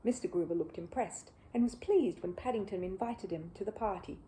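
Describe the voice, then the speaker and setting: A woman reading a children's book aloud as an expressive storyteller. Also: medium pitch, fairly quick, slight background noise, low-quality recording. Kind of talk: expressive storybook narration with a casual greeting.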